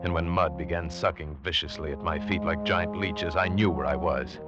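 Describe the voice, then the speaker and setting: A man's voice: low-pitched, fairly even intonation, normal speed, close to an everyday voice. An actor playing a hunted man who narrates his desperate survival with intense, suspenseful delivery in 1940s radio drama style. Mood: weary, tense. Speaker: narrator and protagonist. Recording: dull vintage radio recording, music behind. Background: dramatic music.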